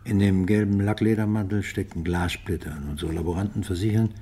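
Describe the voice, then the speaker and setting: An actor playing a skeptical police inspector in a radio crime drama, with a husky voice and a blunt, official manner. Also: male voice, lowish voice, fairly even intonation, fairly quick, warm tone. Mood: matter-of-fact. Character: gruff, skeptical male police homicide inspector.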